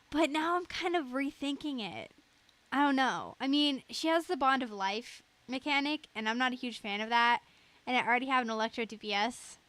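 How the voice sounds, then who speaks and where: Slow-paced, higher-voiced woman streamer. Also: a bit bright, no room sound. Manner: giggly, reads chat aloud. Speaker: game streamer. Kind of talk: casual livestream chat while playing.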